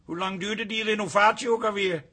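An actor playing an irritable, sarcastic son-in-law with an exasperated manner in a Dutch radio comedy. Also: male voice, sits high, steady tone, brisk, sharp tone, husky, full character voice.

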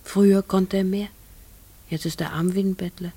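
An actress playing a troubled middle-aged farmer's wife in a German radio play, guarded, halting and fearful. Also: female voice, medium pitch, fairly even intonation, dark tone, slightly rough. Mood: confiding, hushed.

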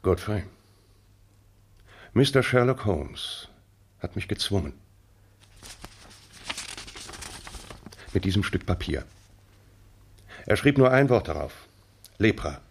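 Gravelly old colonel, grave and low. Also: male voice, very animated, a little slow, pauses often, exaggerated voice. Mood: resigned. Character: grave old colonel, a proud, protective father. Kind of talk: 1960s German radio drama acting.

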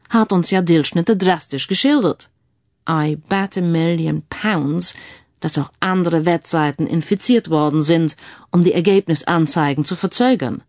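A man's voice: high voice, a little mellow, dry recording, clean studio sound. Woman voicing a smug, analytical male tech sleuth. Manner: peppers speech with English phrases.

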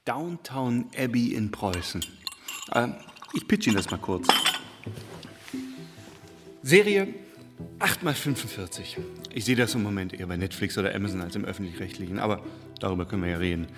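In a long reverb with music clearly behind, an eager male series pitchman. He is chatty and insistent, selling his idea in dramatic bursts like a stage monologue. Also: animated, moderate pace, long pauses. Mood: eager, persuasive. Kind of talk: theatrical radio play monologue.

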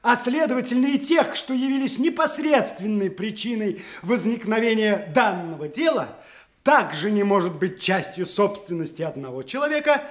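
Very high-voiced pedantic court assessor. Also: male voice, fairly bright tone. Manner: formal, long-winded, oratorical. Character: pedantic male court assessor making a long speech. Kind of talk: theatrical audio drama acting.

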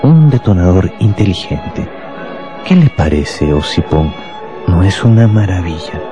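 Fanatical professor, radio play: low voice, grandiose, calmly menacing, score underneath. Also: male voice, measured pace, music in the background. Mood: proud, menacing. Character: cold fanatical bomb-making professor, proud and deadly calm. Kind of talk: Spanish-language radio drama acting.

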